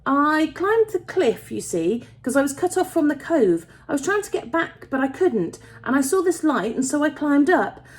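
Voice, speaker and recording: Woman putting on a high, tentative little girl. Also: very animated, moderate pace, hardly any pauses. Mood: tentative, anxious. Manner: tentative, hesitant. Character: shy, polite little girl, lost and nervous.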